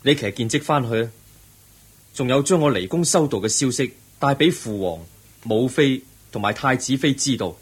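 An actor playing a calm, resolute young prince, gentle and earnest, in a radio drama. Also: male voice, mid-range voice, moderate pace, slightly rough. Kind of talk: Cantonese radio drama acting.

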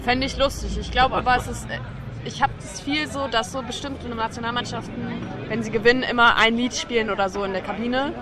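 A woman's voice: a little high, fairly even intonation, brisk. A woman being interviewed, giving her opinions in a casual, conversational way. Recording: noisy outdoor crowd background. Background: crowd chatter, outdoor noise.

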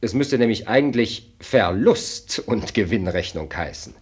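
An actor playing a dry, blunt minister of state in a German radio play, speaking formally and pointedly with an ironic, lecturing air. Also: male voice, on the low side, lively intonation, unhurried, slightly rough.

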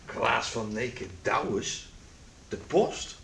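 An actor playing a teasing but level-headed young adult son, wry and matter-of-fact, in a radio drama. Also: male voice, mid-range voice, very animated, drawn-out words, gravelly, fairly bright tone, exaggerated voice. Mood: amused, teasing. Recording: hissy old radio play recording.

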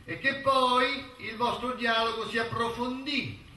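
High-pitched, hectoring courtroom lawyer. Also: male voice, measured pace, sharp tone, heavily acted. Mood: probing. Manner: hectoring, repeats questions insistently. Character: insistent, accusatory male lawyer interrogating a witness. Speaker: stage actor. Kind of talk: theatrical courtroom drama acting. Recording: roomy, distant stage recording with faint noise.

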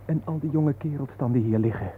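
A man's voice: mid-range voice, fairly quick, natural voice. An actor playing an anxious, sceptical family man in a radio drama, agitated and defensive in his delivery. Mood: defensive, bitter. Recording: muffled, noisy old radio play recording.

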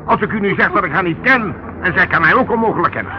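A man's voice: high voice, fast, lightly acted. An actor playing an indignant, somewhat flustered male sea captain in a radio drama. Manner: protesting, hesitant. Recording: muffled, very noisy old radio recording.

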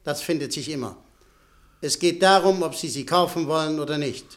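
A man acting in a German radio play as a shrewd, courteous director who speaks in a formal, measured way. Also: on the high side, natural voice. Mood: smooth, businesslike. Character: shrewd, composed businessman director, courteous and controlling.

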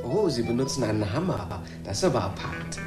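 An actor playing a chatty, easygoing, wry owner of a space colony, rambling colloquially in a radio play. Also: male voice, medium pitch, very animated, a little fast, loud music behind the voice, close to an everyday voice. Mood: wry curiosity. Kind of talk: radio play acting, conversational monologue.

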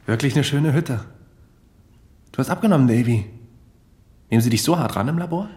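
Radio drama: male actor as nosy, teasing pal, mid-pitched voice, informal and chatty, blunt. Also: expressive, fairly quick, darkish tone, lightly acted. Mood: casual, concerned. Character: blunt, prying, teasing male friend who drinks. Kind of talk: German radio play dialogue.

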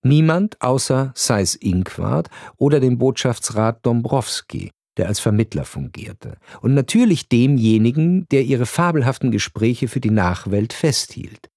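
A male narrator with a medium-pitched voice delivers scripted literary prose for radio. His phrasing is deliberate and measured, like an essay read aloud. The studio sound is dry, with next to no room on the voice. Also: animated, a little mellow, dry recording.

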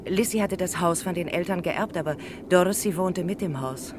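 An actress playing a chatty middle-aged former housemaid in a 1960s radio crime play. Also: female voice, normal speed, natural voice. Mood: gossipy. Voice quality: talkative, gossipy manner. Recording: noisy old radio recording, train rumble.

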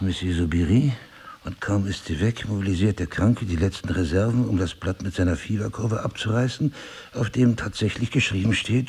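A husband who has lost his memory, in a radio play. His low voice is deeply husky and gravelly. He speaks articulately, with dry irony and a searching, disoriented air. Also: a little mellow, exaggerated voice. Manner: sardonic, musing, articulate. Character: wry amnesiac husband, a crime novelist, disoriented. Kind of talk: German radio play acting.

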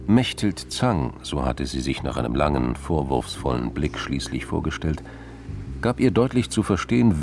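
A man's voice: extremely low pitch, a little mellow, slight background noise. A man reading scripted narration for a crime radio drama.

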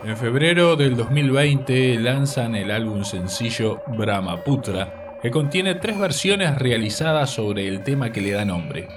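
A man reads a scripted cultural radio segment in a formal, literary, measured way. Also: mid-range voice, expressive, recorded from a distance. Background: music.

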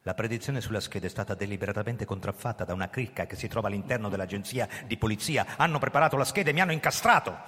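Low-voiced, brooding framed police commissioner. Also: male voice, a bit bright, husky. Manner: brooding, dramatic. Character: framed police commissioner, bitter fugitive man narrating his downfall. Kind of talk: radio drama acting and first-person narration. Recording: echoey hall, music underneath. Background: music.

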